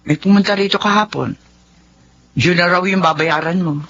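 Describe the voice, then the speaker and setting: A woman's voice: on the low side, moderate pace, fairly bright tone. A long-suffering middle-aged wife and mother in a Filipino radio drama. She is earnest, firm and reproachful. The recording is dull and lo-fi.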